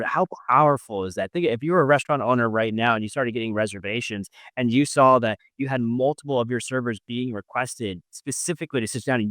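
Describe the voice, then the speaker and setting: Fast-talking male podcast host, dry sound. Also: medium pitch, a bit bright, no room sound. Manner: emphatic, repeats key phrases for stress. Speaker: podcast host interviewing an entrepreneur. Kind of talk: conversational business podcast interview.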